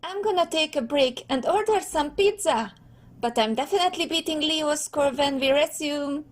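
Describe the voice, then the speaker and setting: A woman voicing a boisterous, competitive teenage turtle brother in a cartoon comic dub, brash and excitable. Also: sits high, normal speed, lightly acted. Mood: excited.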